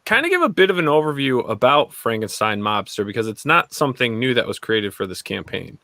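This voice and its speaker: A man's voice: medium pitch, big pitch swings, brisk, vocal fry, sharp tone, no room sound, clean studio sound. A man hosting a show and interviewing guests in a casual style. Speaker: show host.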